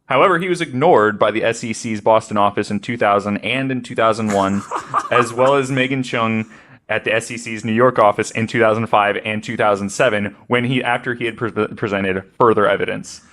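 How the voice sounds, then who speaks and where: Low-pitched man, podcast host. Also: brisk, fairly bright tone. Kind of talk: reading researched notes aloud with casual asides.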